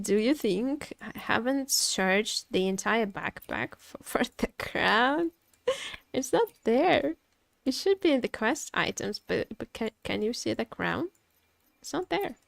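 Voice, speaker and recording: A woman streamer giving casual live commentary on a game, expressive and playfully complaining, often repeating her phrases. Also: mid-range voice, gravelly, dry recording. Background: game music.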